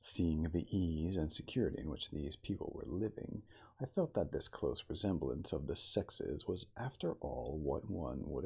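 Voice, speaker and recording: Dignified male starship captain, played by a man, reading literature ASMR-style. Gravelly, very expressive intonation, deliberate theatrical delivery. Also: deep voice, full character voice. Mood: thoughtful, reflective. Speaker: cosplayer reading aloud as a character. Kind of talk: ASMR audiobook reading of a classic novel.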